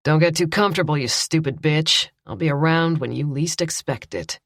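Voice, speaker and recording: A woman voicing a belligerent criminal man in an audiobook, speaking a little lower than her own voice. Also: moderate pace, fairly bright tone, dry recording, clean studio sound. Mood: sneering. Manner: sneering, belligerent. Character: menacing grown man, a nasty criminal.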